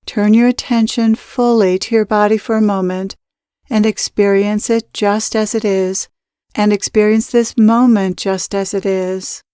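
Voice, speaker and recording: Smooth-voiced woman guiding a meditation. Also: mid-range voice, fairly even intonation, mellow, no echo, studio quality. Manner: measured, deliberate, instructional phrasing. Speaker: spiritual channeler and meditation guide. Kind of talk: guided meditation, scripted spiritual teaching.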